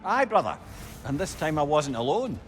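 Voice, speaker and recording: A man's voice: a little high, sing-song, normal speed, soft background music. A man playing a genial, witty old former trickster in a video game, with a Scottish accent and a wry, talkative, old-fashioned way of speaking. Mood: cheerful. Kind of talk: video game dialogue, storytelling banter.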